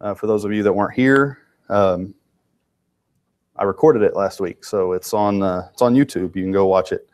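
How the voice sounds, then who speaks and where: A man's voice: on the low side, normal speed, darkish tone. Congested man teaching a Bible class. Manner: informal, with restarts and asides to the class. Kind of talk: conversational Bible lesson. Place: church classroom.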